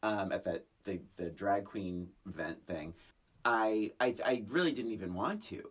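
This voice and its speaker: A middle-aged American podcast co-host chatting casually about film in an animated, halting, emphatic way, with a husky voice. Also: sits low.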